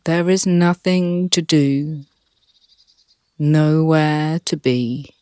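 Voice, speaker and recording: A meditation guide speaking calmly and softly, leading a relaxation session with long pauses. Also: medium pitch, smooth, no echo, studio quality. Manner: calm, soft, with long pauses.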